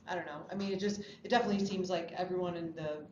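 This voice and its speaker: A woman's voice: on the low side, steady tone, racing. A woman speaking casually and tentatively in a group discussion, giving informal feedback on a script. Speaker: table read participant giving script feedback. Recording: echoey room, muffled, clearly noisy.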